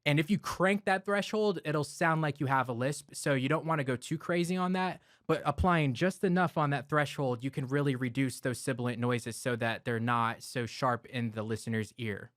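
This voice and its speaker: A man of medium pitch walks through studio gear in a relaxed, step-by-step way, with the odd filler word. He talks close into a broadcast mic in a home studio, and the sound is dry with no room echo. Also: normal speed, few pauses. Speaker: audio gear reviewer. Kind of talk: explanatory gear walkthrough. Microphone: close broadcast dynamic mic.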